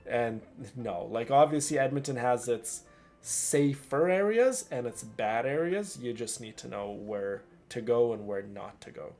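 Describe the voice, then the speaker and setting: A man's voice: mid-range voice, measured pace, a little mellow. A man with a Canadian accent, a live streamer chatting casually and emphatically, repeating himself for stress. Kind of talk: casual livestream chat.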